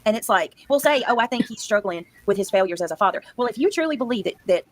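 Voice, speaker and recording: A woman's voice: medium pitch, lively intonation, fast talker, no echo. A woman speaking as a panelist in a casual online discussion.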